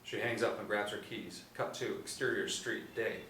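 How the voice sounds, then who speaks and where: Male narrator for script directions, lowish voice, recorded off-mic in a roomy space. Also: fairly even intonation, normal speed, pushed and bright. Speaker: narrator reading stage directions. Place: indoor room, seated reading panel. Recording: roomy, distant mic, faint noise.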